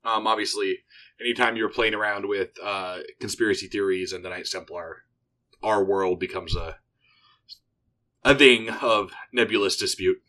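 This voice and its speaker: A man's voice: steady tone, moderate pace, sharp tone, rough recording. A man who designed the game, a podcast guest explaining it with enthusiasm in casual chat. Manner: enthusiastic, pitching his game.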